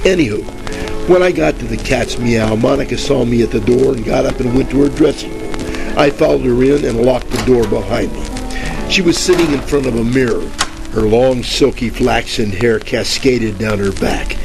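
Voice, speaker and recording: A wisecracking private eye from a 1940s radio parody, played by a man. He has a gritty, creaky voice and a deadpan, sarcastic way with overblown similes. Music plays under the crackly old-time broadcast. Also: mid-range voice, moderate pace, lightly acted. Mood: wry, deadpan. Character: wisecracking hard-boiled male private detective, bumbling and sarcastic. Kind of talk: 1940s radio detective parody, noir first-person narration.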